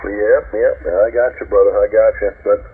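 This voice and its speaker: Laid-back low-voiced man on shortwave radio. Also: steady tone, moderate pace. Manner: laid-back, drawling, says man and brother. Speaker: ham radio operator. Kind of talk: casual radio chat. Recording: tinny shortwave radio with static.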